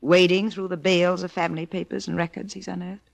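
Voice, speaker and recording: An actress playing a sharp-tongued, anxious wife from a wealthy old family, arch and dramatic in a 1940s style radio drama. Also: female voice, fairly low pitch, level tone, fast, creaky.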